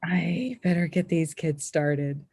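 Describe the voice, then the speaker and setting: Husky, low-voiced teenage girl, acted by a woman. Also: fairly even intonation, slow, a little mellow, no echo, studio quality, full character voice. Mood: friendly. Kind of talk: screenplay table read.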